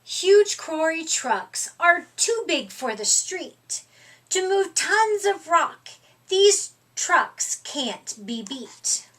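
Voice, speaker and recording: A middle-aged woman reading a picture book aloud to young children in an expressive, deliberate, emphatic way. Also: extremely high pitch. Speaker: storytime reader. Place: small furnished room indoors.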